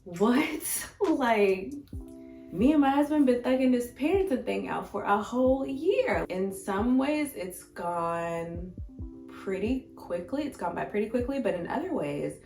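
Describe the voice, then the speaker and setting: Slightly hoarse American woman, echoey room. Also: a little high, unhurried. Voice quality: a little raspy. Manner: informal, expressive, talks directly to viewers. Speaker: vegan food vlogger and host. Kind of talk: casual chatty life-update vlog. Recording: echoey room, distant mic, faint music.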